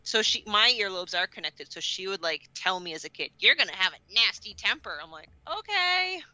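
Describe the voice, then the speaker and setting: Animated woman, strikingly bright voice. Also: medium pitch, a little fast, no room sound, low-quality recording. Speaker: podcast co-host. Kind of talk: casual podcast chat.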